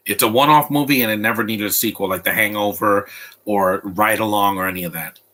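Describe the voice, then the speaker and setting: A man's voice: on the low side, fairly quick, bright, forceful tone. A man giving casual live commentary while watching a film at home, rambling and restarting his sentences often. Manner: rambling, stop-start with repeated restarts. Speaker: movie commentator.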